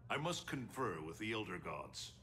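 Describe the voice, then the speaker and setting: A man's voice: lowish voice, very animated, moderate pace, bright, forceful tone, slight background noise. A man playing a solemn, ancient thunder god in a video game, speaking in a grave, formal, stately way. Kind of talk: dramatic video game cutscene acting.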